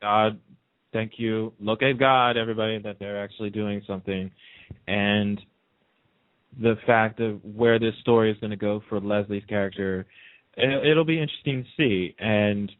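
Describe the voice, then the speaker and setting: Low-voiced man on a phone-like line. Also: fairly even intonation, normal speed, no echo. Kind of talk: casual chat about TV soaps. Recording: muffled, narrow phone-like line.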